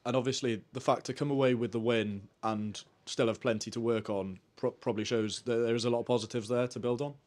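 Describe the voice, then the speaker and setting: A man's voice: mid-range voice, steady tone, fairly quick, hardly any pauses, a little mellow. A man asking questions as the interviewer in a post-match sports interview.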